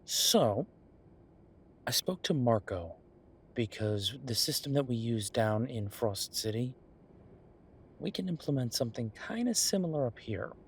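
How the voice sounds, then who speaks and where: A man playing an easygoing chef in an audio roleplay, talking in a casual, musing, explanatory way to his partner, with a slightly husky voice. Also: mid-range voice, lively intonation, measured pace, long pauses, a little hiss or hum. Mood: explaining, persuasive. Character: easygoing male chef planning restaurant business with his partner. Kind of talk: one-sided roleplay acting, casual chat.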